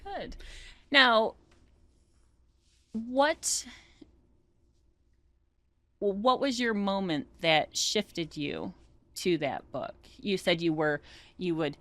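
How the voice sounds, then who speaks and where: A woman hosting a podcast interview in a relaxed, affirming way. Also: medium pitch, very slow, long pauses, no room sound. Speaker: podcast host. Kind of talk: casual interview chat.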